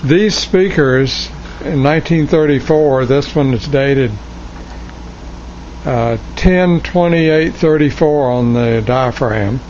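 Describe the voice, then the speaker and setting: Male hobbyist restorer, unhurried and hesitant, casual workshop demo on a lo-fi camera mic with faint background noise. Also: fairly high pitch, a little mellow. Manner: hesitant, halting, thinking aloud. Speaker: hobbyist restorer of vintage radio gear. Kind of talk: casual, unscripted show-and-tell. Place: cluttered indoor electronics workshop. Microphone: handheld camera mic, behind the lens.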